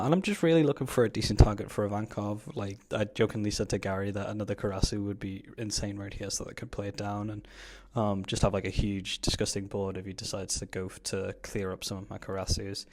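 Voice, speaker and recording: Man, fairly low voice, casual gameplay commentator. Informal, slangy, explains each play as a fellow player. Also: fairly even intonation, fairly quick, darkish tone. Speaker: card game player commentating his own match.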